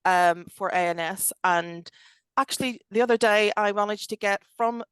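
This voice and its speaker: A woman's voice: medium pitch, normal speed, clear, resonant tone, no echo. A woman in her forties from Northern Ireland with a Belfast accent, an interview guest telling candid stories in a chatty, self-deprecating way. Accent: Belfast, Northern Irish. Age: 40s. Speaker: interview guest, tech director. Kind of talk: casual, candid storytelling.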